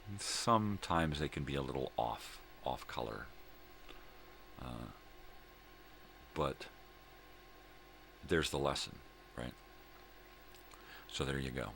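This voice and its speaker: A middle-aged man with a gravelly voice, rambling casually and folksily as he hosts a morning vlog, often repeating himself. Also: takes it slowly, pauses often, a bit bright. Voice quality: gravelly, very deep. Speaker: host of a daily vlog. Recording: clear background room noise.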